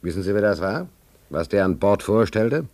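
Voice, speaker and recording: An aggrieved working sailor for a radio play. The voice sits low but swings widely in pitch. The speech is plain, colloquial and full of grievance. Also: male voice, animated, moderate pace, a little mellow. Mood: bitter, recounting. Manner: indignant, colloquial, protesting. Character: aggrieved sailor tricked into shipping as stoker's trimmer. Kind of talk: German radio play acting.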